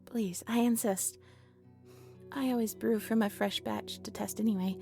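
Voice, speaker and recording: A woman playing a polite, shy owl shopkeeper in a romantic roleplay audio drama, speaking gently and hesitantly. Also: mid-range voice, fairly even intonation, a little slow, creaky, faint music behind. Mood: shy, warm.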